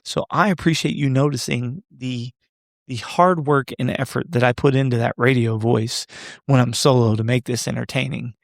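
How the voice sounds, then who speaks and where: A man's voice: mid-range voice, steady tone, normal speed, creaky, no echo, clean studio sound. A man in his thirties hosting a solo podcast, chatting casually and joking back to live comments. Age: 30s. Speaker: podcast host.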